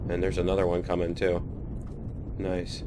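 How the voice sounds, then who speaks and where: A man's voice: low-pitched, monotone, normal speed, background noise. A man with a cold chatting casually while playing a video game, self-deprecating and laughing at his own mistakes. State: has a cold. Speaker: gaming YouTuber. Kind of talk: casual let's-play commentary.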